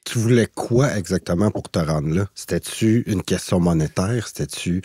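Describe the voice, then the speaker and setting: A man's voice: deep voice, normal speed, warm tone, breathy edge, no room sound, studio quality. A man with a Quebec French accent co-hosting a casual chat, asking questions in colloquial language. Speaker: podcast co-host.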